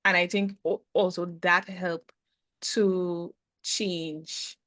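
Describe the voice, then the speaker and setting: A woman guest, a business consultant, speaking reflectively in a casual interview with frequent hesitant pauses. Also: on the low side, level tone, fairly bright tone, dry recording. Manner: thoughtful, hesitant, many "um" pauses.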